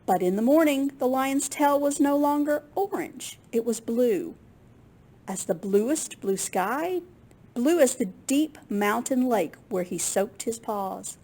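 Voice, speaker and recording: Storytime reader: woman, higher-pitched, expressive and swooping, slow delivery for young children. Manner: expressive, unhurried, with small asides to listeners. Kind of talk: expressive picture book read-aloud for children.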